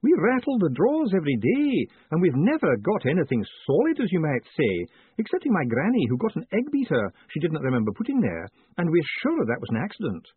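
A man's voice: fairly high pitch, huge pitch swings, fairly quick, slightly rough, no room sound, phone-quality sound, voice pushed up, full character voice. A man voicing an older woman in a storybook, a tearful and deferential post office worker who sobs plaintively as she talks. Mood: sniffling, earnest. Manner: sobbing, plaintive, breathless deference.

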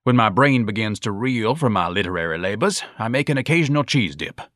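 A man's voice: on the low side, expressive, fairly quick, fairly bright tone, no room sound, studio quality. A man voicing a pompous, huge thirty-year-old mama's boy who speaks in a florid, condescending way. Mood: self-important.

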